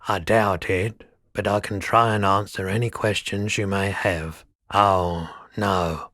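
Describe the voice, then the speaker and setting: A man playing a weary, gentle, eccentric middle-aged pawnshop keeper in a video game, with a laid-back, polite and musing manner. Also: sits low, steady tone, slow pace, no echo, clean studio sound, heavily acted. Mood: polite, detached. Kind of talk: video game character dialogue.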